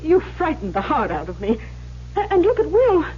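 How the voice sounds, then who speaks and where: Fretful pious countrywoman, lively pitch. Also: normal speed, slight background noise. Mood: frightened, concerned. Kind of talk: old-time radio drama acting. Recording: dull, humming vintage radio recording.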